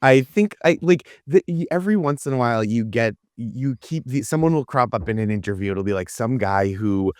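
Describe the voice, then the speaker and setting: A man's voice: medium pitch, expressive, a little fast, few pauses, darkish tone, no room sound, studio quality. A man riffing casually as a comedy panellist, incredulous and conversational, acting out imagined exchanges.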